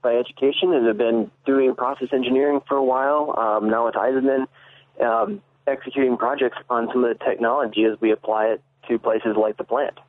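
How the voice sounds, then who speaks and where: A man speaks over a narrow, tinny phone connection, hesitating with "um"s as he explains. His voice sits at an ordinary pitch. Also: a little fast. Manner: hesitant, with fillers. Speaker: engineer and guest caller. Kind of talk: phone-in interview.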